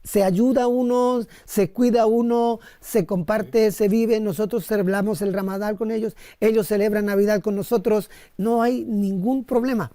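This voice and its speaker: Mellow-voiced Colombian man telling his experiences. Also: high voice, fairly even intonation, normal speed, hardly any pauses, no room sound. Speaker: missionary priest, interview guest. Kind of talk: conversational personal storytelling in an interview.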